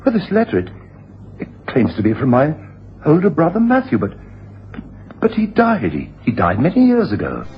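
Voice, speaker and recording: A mild gentleman husband in a radio play, genteel and easily shaken. His hesitant speech swings very widely in pitch. The recording is dull, with slight noise. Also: huge pitch swings, normal speed, long pauses. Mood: puzzled, shaken. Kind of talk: radio drama acting. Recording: dull, faintly noisy 1980s radio broadcast.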